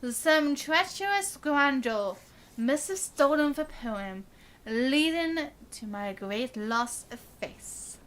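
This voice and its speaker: A woman's voice: sits high, lively intonation, slow, a bit bright. A woman live streaming a game, reading its dialogue aloud and adding short casual reactions.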